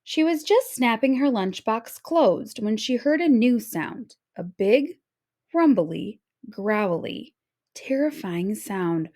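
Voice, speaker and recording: A woman narrating a children's story aloud in a dramatic, expressive read-aloud style. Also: a little high, slow pace. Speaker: storybook reader.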